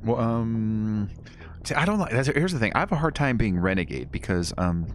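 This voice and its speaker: A young man giving relaxed, deliberately quiet commentary while streaming a game. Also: lowish voice. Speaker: gaming streamer. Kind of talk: casual let's-play commentary. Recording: game music in background.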